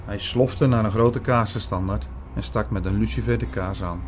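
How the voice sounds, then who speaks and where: A man playing an earnest priest, narrating an audio drama in a clear storytelling manner. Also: fairly low pitch, moderate pace, a little hiss or hum, lightly acted. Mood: earnest, watchful. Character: earnest male priest recounting his investigation.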